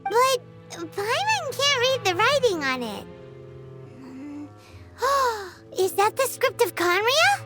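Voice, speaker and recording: A woman's voice: extremely high pitch, big pitch swings, slow, sharp tone, heavily acted. A woman voicing a tiny, chatty female fairy companion in a video game, who talks about herself in the third person. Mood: puzzled. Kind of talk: video game cutscene voice acting. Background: game soundtrack music.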